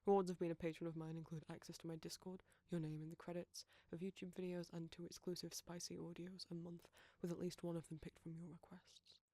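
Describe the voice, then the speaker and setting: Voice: mid-range voice, flat delivery, fast, few pauses, mellow, dry recording. A British audio roleplay creator reading out a scripted outro of thanks and credits.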